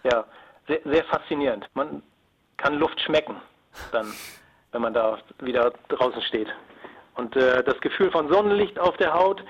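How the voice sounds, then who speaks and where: A man's voice: medium pitch, a little slow, long pauses, slightly rough. A man explaining things as an expert guest in a phone interview. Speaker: expert guest, submarine engineer and former pilot. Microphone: telephone. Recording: dull band-limited phone line.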